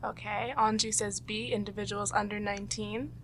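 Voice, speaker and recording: A woman with a Canadian accent and a bright, forward voice at an average pitch. She speaks as a host, presenting to listeners and guiding a group. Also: little pitch movement, normal speed, a little hiss or hum. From Toronto, Canada. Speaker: host and quizmaster. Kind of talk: presenting a quiz and leading a group discussion.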